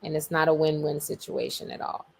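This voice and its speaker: A woman's voice: low-pitched, fairly even intonation, brisk, gravelly, darkish tone, a little hiss or hum. A woman co-hosting a podcast in casual chat, talking emphatically and repeating phrases to drive a point home. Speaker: podcast co-host.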